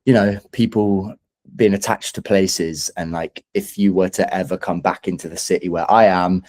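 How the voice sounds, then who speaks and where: Low, mellow-voiced man chatting thoughtfully. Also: steady tone, normal speed. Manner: hesitant, thoughtful, self-deprecating. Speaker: singer-songwriter guest. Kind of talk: casual interview chat.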